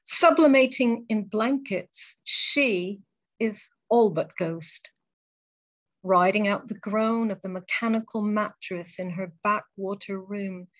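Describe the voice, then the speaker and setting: A woman poet reading her poems aloud and talking between them, in a measured, deliberate way with pauses between phrases. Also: mid-range voice. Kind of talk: poetry reading.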